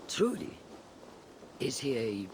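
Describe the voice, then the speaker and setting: An actor playing a seasoned older assassin mentor in a video game, shrewd and patient, speaking in measured, formal, archaic phrases. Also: male voice, mid-range voice, big pitch swings, noisy background. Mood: probing, solemn. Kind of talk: dramatic video game dialogue.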